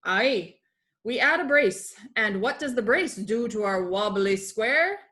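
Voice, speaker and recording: Woman voicing a hearty, lively pirate captain. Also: a little high, takes it slowly, exaggerated voice. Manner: pirate grammar, declamatory. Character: hearty pirate sea-captain creature teaching woodworking.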